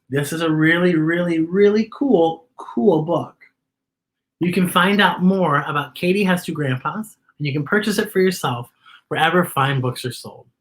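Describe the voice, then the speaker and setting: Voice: medium pitch, a bit bright. A children's story time host reading aloud and talking to young viewers in an enthusiastic, emphatic way. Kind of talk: animated read-aloud to children.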